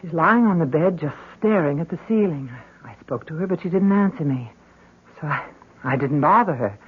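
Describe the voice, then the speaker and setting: A woman's voice: deep voice, animated, moderate pace, background noise. A woman acting an anxious, loving middle-aged mother in an old-time radio drama, chatty and solicitous. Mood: worried. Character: fussing, anxious, loving mother of a grown daughter. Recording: dull lo-fi radio broadcast recording.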